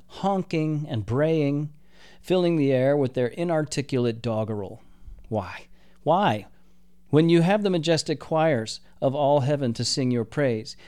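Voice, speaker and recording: A man's voice: medium pitch, a little mellow, clean tone, lightly acted. A proud, disdainful archangel, as a man reads him in a near-natural voice. He speaks formally and with scorn, like a lofty rebel in a storybook.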